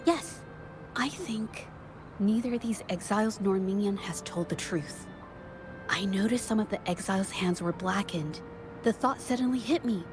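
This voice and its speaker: An actress voicing a thoughtful young woman investigator in a video game, who reasons aloud in a measured way. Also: medium pitch, over music, lightly acted.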